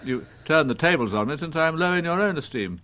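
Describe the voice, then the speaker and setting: Proud, pompous old English judge. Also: male voice, on the high side, lively intonation, fairly bright tone. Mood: wounded, coldly ironic. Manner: formal, measured, pompous legal diction. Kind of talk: radio drama acting.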